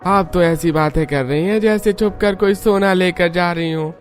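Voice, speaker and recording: A man's voice: high-pitched, moderate pace, slight background noise, close to an everyday voice. A man voicing ordinary, casual men in a family cartoon with a conversational delivery. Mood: friendly, curious. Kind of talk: Hindi family cartoon dialogue.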